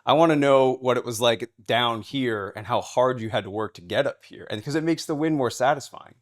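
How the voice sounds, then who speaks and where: A man hosting a podcast interview, casual and encouraging, with quick affirmations. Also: medium pitch. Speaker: podcast host.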